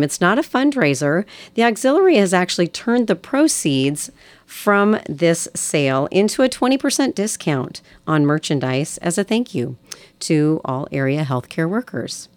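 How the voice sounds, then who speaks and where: An American woman with a slightly low voice hosts a community radio show, recorded close and dry. She delivers announcements in an upbeat, welcoming way, like a practiced local presenter. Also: no room sound. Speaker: radio host. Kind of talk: hospital radio program announcements and interview.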